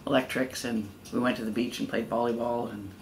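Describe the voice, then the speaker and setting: A middle-aged man recalling his schooling in a gentle, meandering way. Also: medium pitch, little pitch movement, fairly quick, a little hiss or hum, poor audio. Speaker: interviewee. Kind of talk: casual recollection.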